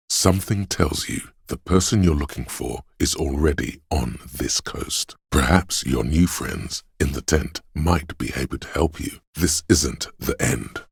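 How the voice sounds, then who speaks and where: A man voicing a gentle, perceptive inner voice in a video game, narrating others' feelings in a measured, hushed, solemn and compassionate way. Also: a bass voice, gravelly, breathy edge, no echo, clean studio sound, exaggerated voice. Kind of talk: scripted game narration, intimate inner monologue.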